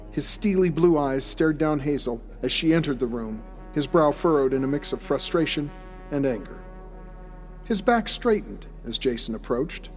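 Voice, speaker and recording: Guy narrating a radio drama story, slightly high voice, music under it. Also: darkish tone. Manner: descriptive storytelling. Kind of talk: scripted audio drama narration.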